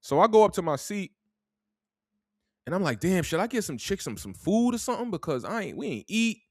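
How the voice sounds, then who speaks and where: A man's voice: high-pitched, very animated, a little fast, no echo, clean studio sound. A young man from New York with a New York accent, telling a story in a casual, laid-back way and tagging his sentences with "man". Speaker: podcast host.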